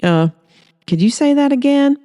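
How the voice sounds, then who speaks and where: Woman playing a nervous lovestruck young man, earnest, hesitant, storybook underdog, soft-edged mellow tone. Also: high voice, lively intonation, moderate pace, no echo, studio quality, pitched above the performer's own voice. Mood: bewildered, eager. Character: shy, smitten, nervous young man, trailer-park underdog.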